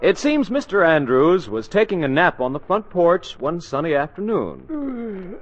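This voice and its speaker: A man's voice: high voice, very animated, unhurried, slight background noise. A man narrating a 1940s radio comedy as announcer, theatrical and wry. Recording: muffled 1940s radio broadcast.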